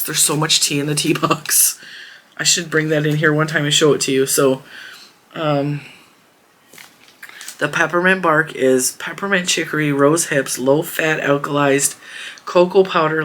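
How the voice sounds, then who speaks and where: A woman hosting a crafting channel, chatting casually to her viewers in a hoarse voice, rambling and warm. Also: low voice, a little hiss or hum. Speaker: crochet channel host. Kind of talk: casual chat to camera.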